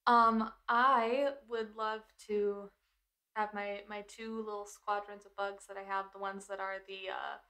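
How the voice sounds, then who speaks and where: A woman's voice: mid-range voice, little pitch movement, a little slow, sharp tone. Casual woman playing a tabletop game. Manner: informal, thinking aloud. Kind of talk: casual chat, describing game moves.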